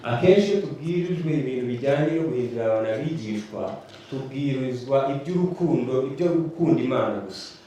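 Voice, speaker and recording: A man interprets a sermon live, working steadily through each phrase and sometimes restarting to get the wording right. His voice is mellow and dark. He sounds far from the microphone in a hugely echoing hall, with faint noise behind him. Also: mid-range voice, quick pace. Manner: steady, rephrases as he goes. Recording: distant mic, echoey hall, faint noise.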